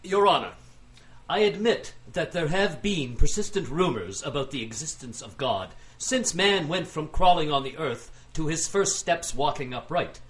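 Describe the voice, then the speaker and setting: Pompous plaintiff, higher-pitched and animated. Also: male voice. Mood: pompous, assertive. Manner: oratorical, pompous, deliberate. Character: self-assured male plaintiff arguing pompously against God. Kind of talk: audio drama acting.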